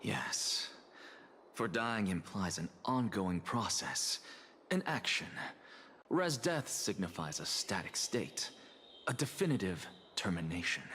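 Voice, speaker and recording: A man's voice: lowish voice, a bit bright, heavily acted. A man playing an arrogant, philosophical scholar envoy in a video game, speaking formally and theatrically with haughty eloquence. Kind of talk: dramatic video game voice acting.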